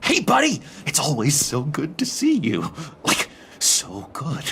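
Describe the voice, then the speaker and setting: A man playing a needy, unhinged misfit in a video game, gushing and halting as he pleads for friendship. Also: on the high side, huge pitch swings, gravelly, a little hiss or hum, heavily acted. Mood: giddy, gushing. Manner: gushing, halting, giggly, swinging between chirpy and hushed.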